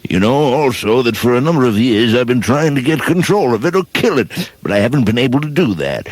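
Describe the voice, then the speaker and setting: A man playing a hated, scheming old tycoon in a comedic radio play, gruff and deliberate in his delivery. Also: medium pitch, very animated, no echo. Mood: sly, smug.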